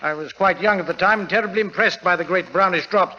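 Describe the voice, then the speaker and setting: An actor playing a genial, evasive upper-class gentleman in a 1940s radio drama, fussy and hesitant. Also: high voice, fast. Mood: nervously genial. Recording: dull, noisy old radio recording.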